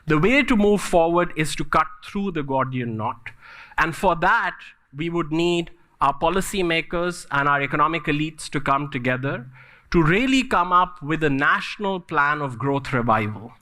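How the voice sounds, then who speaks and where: A man's voice: fairly high pitch, animated, moderate pace, sharp tone. A man giving prepared, emphatic remarks as a speaker on a policy panel.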